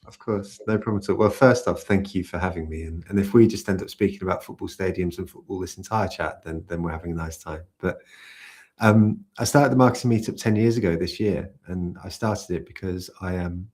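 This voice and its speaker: A man who founded a community, answering interview questions in a soft-spoken, gentle way and telling its story. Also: sits low, fairly quick. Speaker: community founder, podcast guest.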